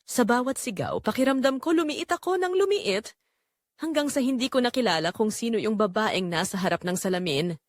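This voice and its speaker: A woman's voice: a little high, lively intonation, brisk, dry recording, studio quality, lightly acted. A woman with a Filipino accent playing a weary, anguished migrant-worker mother, telling her story in an emotive, confiding audio drama narration. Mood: weary, pained.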